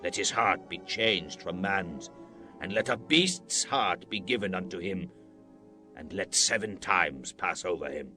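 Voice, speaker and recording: A man's voice: low voice, animated, measured pace, pushed and bright, faint music behind, exaggerated voice. An actor playing a proud, commanding Babylonian king in a solemn scripture dramatization, declaiming in a grand, stately way. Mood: solemn, troubled.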